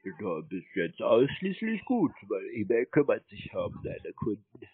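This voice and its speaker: A man in a phone conversation, talking in a deadpan way and playing naive. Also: fairly low pitch, measured pace, breathy edge, no room sound. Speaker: prank call recipient stringing along a scammer. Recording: muffled narrowband phone audio.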